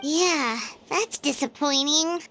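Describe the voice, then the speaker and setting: Tiny, extremely high-pitched chirpy fairy. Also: female voice, slow, fairly bright tone, soft background music, heavily acted. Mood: disappointed. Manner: squeaky, childlike. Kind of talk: video game voice acting.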